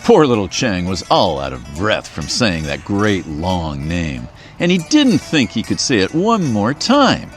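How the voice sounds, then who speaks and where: A man reading a children's folktale aloud in a very expressive, playful storyteller manner. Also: deep voice, a little slow, faint music behind.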